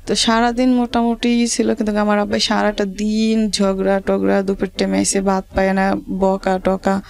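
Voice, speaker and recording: A woman with a rural Bangladeshi accent, telling her life story to an interviewer in halting, repetitive dialect. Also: mid-range voice, monotone, creaky, darkish tone.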